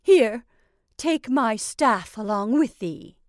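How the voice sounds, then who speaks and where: A high, sharp-voiced old witch, as a woman reads her, cooing over her creation in old-fashioned speech. Her pitch sits well above a plain speaking voice and rises sharply when she calls out. The sound is dry and close. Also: expressive, slow, dry recording. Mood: gleeful. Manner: fond and coaxing, archaic speech. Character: cackling old witch mother, doting, sharp-tongued.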